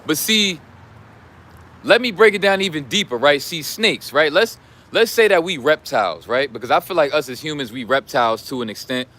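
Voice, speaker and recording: A man's voice: fairly high pitch, expressive, moderate pace, pushed and bright. A young man coaching in a casual, blunt, preachy way, full of slang and profanity. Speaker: life coach. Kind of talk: casual Patreon talk.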